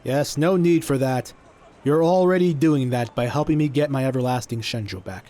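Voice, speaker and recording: A man's voice: medium pitch, moderate pace, mellow, close to an everyday voice. A man playing a confident, gallant conjurer in an audio drama, theatrical and commanding. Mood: calm, helpful. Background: music.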